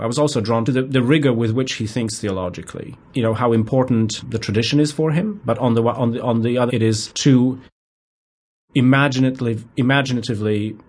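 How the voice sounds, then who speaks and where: A man from Poland with a Polish accent, speaking as a guest professor in an academic interview, thoughtful and measured, sometimes restarting his words. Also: mid-range voice, husky.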